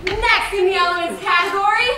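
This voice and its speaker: A young woman playing a prim, mock-serious romance scientist in a comic stage lecture, projecting crisp, clinical declarations. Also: very high-pitched, steady tone, fairly quick, over music. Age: young adult. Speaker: stage actor presenting rules of rom-coms. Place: large indoor theater stage. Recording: echoey theater from far off, noisy audience.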